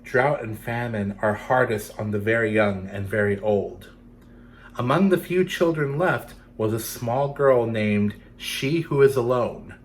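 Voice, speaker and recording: A man's voice: mid-range voice, measured pace. Playful male storytime reader, some background noise. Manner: playful, addresses young listeners directly. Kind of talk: children's picture-book reading with asides.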